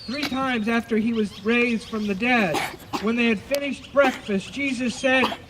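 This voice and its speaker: A man with a very high voice narrates a scripture dramatization outdoors. He takes it slowly and deliberately, projecting each phrase, with faint outdoor noise and birds behind him. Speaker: narrator of an Easter service dramatization. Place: outdoors by a lake. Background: birds and outdoor ambience.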